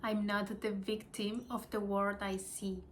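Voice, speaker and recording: A woman whose first language is Spanish, with a Spanish accent, reading spiritual lessons slowly and hesitantly, adding her own reflections. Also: mid-range voice, flat delivery, a little hiss or hum. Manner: hesitant, apologetic, with small laughs and restarts.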